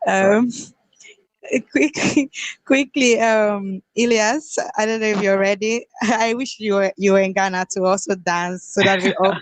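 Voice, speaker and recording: A woman's voice: mid-range voice, steady tone, measured pace, no echo. A woman moderating an online panel, giggly and self-conscious as she speaks.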